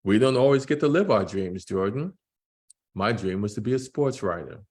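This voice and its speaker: A man voicing a supportive, gently joking father in a children's graphic novel read-aloud, with a reassuring, wry manner. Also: lowish voice, animated, moderate pace, lightly acted.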